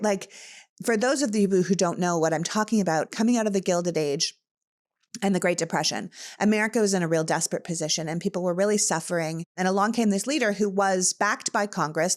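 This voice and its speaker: A woman from Canada hosting a podcast, talking politics in a passionate, emphatic way. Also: on the low side. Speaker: podcast host. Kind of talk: casual political talk.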